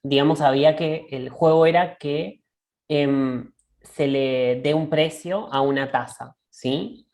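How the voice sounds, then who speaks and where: A woman with a notably low voice talks through ideas slowly and reflectively. She pauses often and restarts phrases. She keeps checking in with her listener, as if over a video call. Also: steady tone, darkish tone. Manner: thoughtful, halting, often checks in with a questioning "right?". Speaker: discussion co-host. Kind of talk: reflective conversational dialogue.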